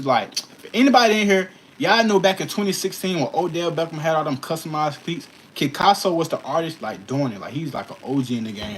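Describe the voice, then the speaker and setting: Young guy early 20s just talkin to viewers, slangy n emphatic, mid pitch, noisy background. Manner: slangy, emphatic, repeats check-in phrases. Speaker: custom shoe artist answering viewer questions. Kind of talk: casual livestream Q&A chat.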